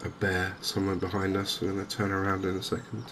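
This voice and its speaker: A man hosts a cozy gaming video in a soft, low voice. His delivery is gentle and unhurried, with very little rise and fall, as if musing to himself. Clear game ambience runs behind him. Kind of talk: softly spoken cozy ASMR gaming commentary. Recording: clear steady ambient background noise.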